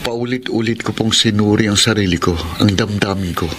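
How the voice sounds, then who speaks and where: A man's voice: lowish voice, a little fast, heavy background noise, lightly acted. A man playing a lovelorn young man clinging to his girlfriend in a Filipino radio drama, with an earnest, pleading manner. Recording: loud music under the voice. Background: music.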